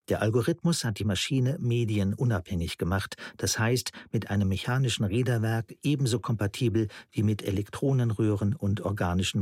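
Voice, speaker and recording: A man's voice: fairly low pitch, darkish tone, breathy edge, dry recording, clean studio sound. An actor playing an earnest male theorist who lectures precisely and didactically in a German radio play.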